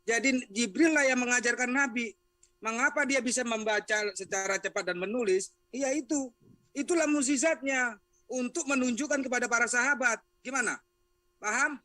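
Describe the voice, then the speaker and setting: A man argues as someone's advocate in an online debate. His voice is high and forceful, and he repeats himself as he defends his point. The recording is dry and close. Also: normal speed, no room sound. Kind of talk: argumentative debate talk.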